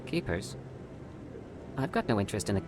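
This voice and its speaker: Nervous scientist with lively pitch. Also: male voice, a little fast. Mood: flustered, evasive. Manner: hesitant, stammering, evasive. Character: nervous, secretive, earnest scientist. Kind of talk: video game dialogue.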